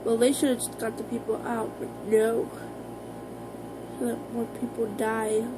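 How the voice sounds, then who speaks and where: An American woman talking casually to her viewers in an informal, halting, rambling vlog style. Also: on the high side, flat delivery, music in the background, low-quality recording. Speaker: vlogger.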